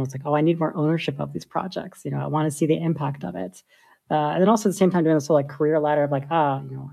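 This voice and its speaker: Gravelly, husky woman. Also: low-pitched, darkish tone. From United States. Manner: thoughtful, many filler likes. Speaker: guest, software engineer. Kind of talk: casual interview talk.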